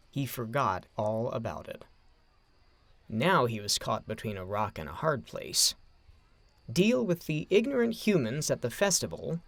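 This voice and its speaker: A man narrating a scripted story in a measured, dramatic way, with deliberate phrasing. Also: mid-range voice, fairly bright tone, no room sound.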